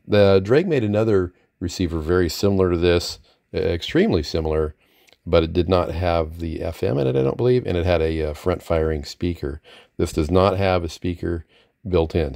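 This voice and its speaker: A very low-voiced man with a creaky, gravelly edge talks casually through a piece of equipment. He rambles a little and hesitates, sounding unscripted and modest about what he knows. The sound is close and dry. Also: darkish tone, no room sound. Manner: hesitant, rambling, chuckling self-deprecation. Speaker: vintage radio collector presenting a receiver. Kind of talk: casual unscripted product demonstration. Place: indoor room, at a desk with radio gear.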